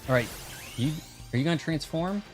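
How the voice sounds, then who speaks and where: A man's voice: on the high side, expressive, fairly quick, soft background music. An American man hosting a video game livestream, talking casually in a rambling, conversational way. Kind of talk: casual gaming stream chat and commentary.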